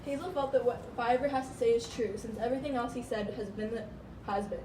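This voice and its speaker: A woman's voice: a little high, fast talker. A woman reading scripted story narration for an amateur puppet show, stumbling now and then. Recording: echoey, noisy room, far from mic.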